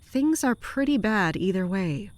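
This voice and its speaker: A woman playing a weary, guarded castle commander in an audio drama, with a restrained, measured and terse way of talking. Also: medium pitch, lively intonation, close to an everyday voice. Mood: tired, guarded.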